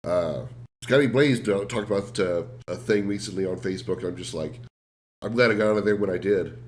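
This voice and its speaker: A man streaming a game while sick, sounding rough and congested, rambling self-deprecatingly and answering his chat. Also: deep voice, a little fast, a little hiss or hum. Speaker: game livestreamer. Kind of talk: casual livestream chat.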